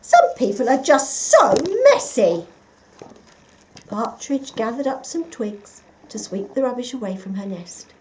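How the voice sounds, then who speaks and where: A woman reading a children's picture book aloud, with expressive, dramatic emphasis for young listeners. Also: medium pitch, a little hiss or hum, low-quality recording. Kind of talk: expressive scripted story narration.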